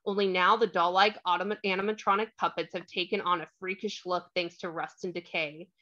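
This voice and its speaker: Low-voiced woman narrating a screenplay. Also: fairly even intonation, brisk, fairly bright tone, highs cut off. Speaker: stage directions narrator. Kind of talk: scripted narration, screenplay table read.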